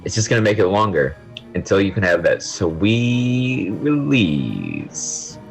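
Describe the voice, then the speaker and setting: A man's voice: on the low side, big pitch swings, slow pace, creaky, soft background music, exaggerated voice, rough recording. A man playing a bombastic, melodramatic student gamer with grandiloquent speech, in an amateur audio drama. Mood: pompous. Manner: theatrical, grandiloquent ranting.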